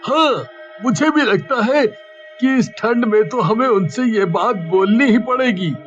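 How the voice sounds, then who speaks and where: Man as a polite young-sounding workman, extremely high voice, earnest, cartoon dialogue, dull recording, faint music. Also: measured pace. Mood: earnest request. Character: earnest, polite male factory worker. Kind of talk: Hindi cartoon voice acting.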